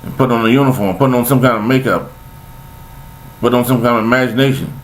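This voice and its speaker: A man's voice: mid-range voice, fast, a little hiss or hum, rough recording. An older man, talking in a rambling, preachy monologue, repeating himself with folksy sayings. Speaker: vlogger giving life commentary.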